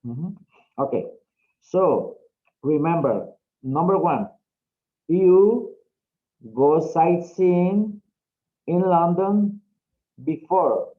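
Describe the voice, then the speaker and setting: Slow, patient male English teacher. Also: a little high, animated. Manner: slow, drawn-out, frequent "okay?" checks. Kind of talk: online class instruction. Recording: dull lo-fi audio.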